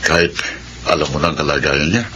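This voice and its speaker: Actor as deep, grave older man. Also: steady tone, a little fast, bright, forceful tone, a little hiss or hum. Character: grave older father figure, questioning, solemn. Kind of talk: radio drama acting.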